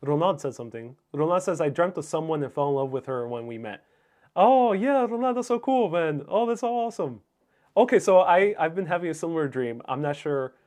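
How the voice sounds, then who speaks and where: A man's voice: a little high, lively intonation, a little fast, a little mellow. A man whose first language is Spanish, hosting a livestream in a casual, musing, somewhat hesitant way as he reads and answers viewer comments. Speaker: livestream host.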